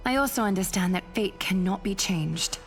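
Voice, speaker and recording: A woman playing a weary, solemn figure burdened by fate in a video game, calm, grave and formal. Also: mid-range voice, moderate pace, soft background music, lightly acted. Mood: weary resolve.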